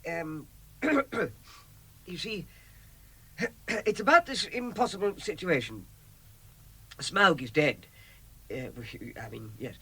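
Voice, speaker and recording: Timid hobbit, fairly high voice, lively swooping pitch, stammering, deferential, honest, radio drama. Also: male voice, slow pace, pauses often, full character voice. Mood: nervous, stammering. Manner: stammering, hesitant, deferential, throat-clearing. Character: flustered, timid, honest, well-meaning male hobbit. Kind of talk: vintage radio drama acting.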